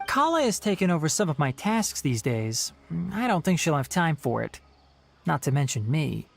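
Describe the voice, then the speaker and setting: A young forest ranger in a video game, polite and articulate. The measured phrases move with lively rises and falls. Faint music sits behind the voice. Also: male voice, a little high, gravelly, heavily acted. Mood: explanatory. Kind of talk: video game dialogue acting. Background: background music.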